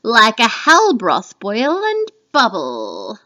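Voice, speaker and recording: A woman playing a menacing witch in a dramatic Shakespeare reading, chanting spells with slow, emphatic, drawn-out words. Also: a little high, huge pitch swings, bright, forceful tone, full character voice. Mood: eerie, incanting.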